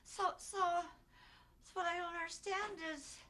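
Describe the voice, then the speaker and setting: An actress performing a stage monologue as a distraught older grandmother who protests her innocence in halting, repetitive speech. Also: extremely high pitch, steady tone, bright, forceful tone, exaggerated voice. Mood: rambling, fond. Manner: halting, stammering, repeating herself.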